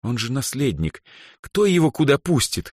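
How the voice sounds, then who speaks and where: A man voicing a grumpy, sardonic young woman in a fantasy audiobook, speaking in a gruff, ironic, dry-witted way. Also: medium pitch, big pitch swings, moderate pace, darkish tone, dry recording, pitched above the performer's own voice.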